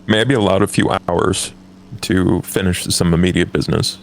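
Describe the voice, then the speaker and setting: Guy doing a deep creaky vampire gentleman, formal n guarded, game session, some noise behind. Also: steady tone, normal speed. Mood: reserved, polite. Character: formal, reserved gentleman vampire with businesslike courtesy.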